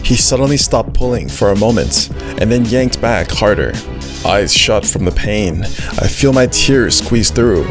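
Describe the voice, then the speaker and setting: A performer voicing an earnest, childlike, food-loving male dog who narrates a visual novel in an animated, naive way. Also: mid-range voice, unhurried, music in the background, lightly acted. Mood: determined. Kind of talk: first-person visual novel narration with character dialogue.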